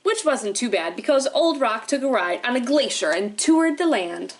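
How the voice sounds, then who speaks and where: A woman's voice: a little high, very animated, moderate pace, a little hiss or hum. A woman hosting library storytime, reading aloud and explaining things expressively to young children, often checking in with them.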